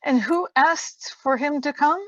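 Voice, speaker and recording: A woman's voice: sits high, a little slow, fairly bright tone, no room sound, lo-fi sound. A woman playing an earnest, inquisitive young companion in a radio play reading.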